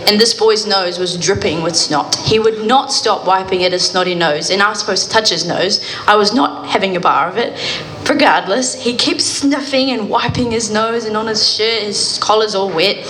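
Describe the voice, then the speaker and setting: Calm New woman in echoey hall. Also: mid-range voice. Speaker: panelist. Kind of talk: reflective personal story at a panel. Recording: echoey hall, faint room noise.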